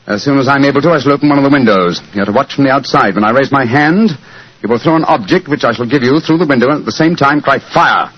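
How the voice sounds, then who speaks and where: An actor playing a confident, commanding British gentleman detective in a 1940s radio drama, speaking precisely. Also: medium pitch, fast, slightly rough, natural voice. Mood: instructing. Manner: precise, authoritative. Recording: muffled, hissy 1940s radio recording.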